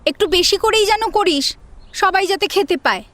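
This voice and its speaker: A woman's voice: extremely high pitch, normal speed, fairly bright tone, no room sound. A woman playing a bossy, spoiled young woman in an audio story, speaking in an imperious, demanding way. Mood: commanding. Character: bossy, spoiled young woman ordering her sister around. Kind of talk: Bengali audio story acting.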